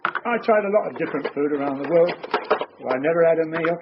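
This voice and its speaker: A man's voice: fairly high pitch, a little fast, lightly acted. An actor playing a genial, elderly English father, ex-Navy, chatty and dryly joking in a naturalistic radio drama. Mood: chatty, nostalgic. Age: older. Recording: dull lo-fi radio broadcast, clear noise.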